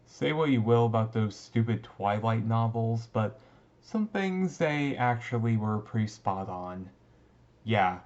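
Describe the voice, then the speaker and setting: Casting: male actor as brooding yet easygoing teen vampire for ASMR roleplay; low, slow, halting delivery, slightly muffled recording. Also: lively intonation, a little mellow. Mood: resigned, confiding. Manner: halting, conversational, mildly resigned. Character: brooding, easygoing male teenage vampire classmate, secretly thirty-seven. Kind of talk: ASMR roleplay, casual intimate talk.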